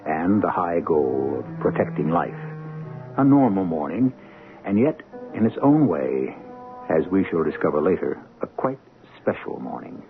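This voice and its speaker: A man hosting and narrating a radio drama in a deliberate, theatrical scripted style. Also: sits low, vocal fry. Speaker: host and narrator. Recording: narrow-band old radio broadcast with music. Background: music.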